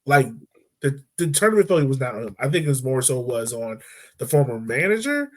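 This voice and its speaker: A man hosting a casual football podcast, talking in a relaxed, chatty way and laughing easily. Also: medium pitch, brisk. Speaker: podcast host. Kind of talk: casual sports chat.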